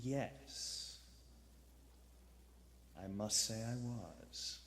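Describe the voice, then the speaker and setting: Slow, sanctimonious, menacing lodger. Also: male voice, fairly low pitch, vocal fry, a bit bright, a little hiss or hum, exaggerated voice. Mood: eerily pious. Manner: slow, sanctimonious, erupting into indignation. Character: menacing, pious, secretive male lodger preaching against pleasure. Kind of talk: live vintage radio play acting. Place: theater stage before a live audience. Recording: hall reverb of a live theater.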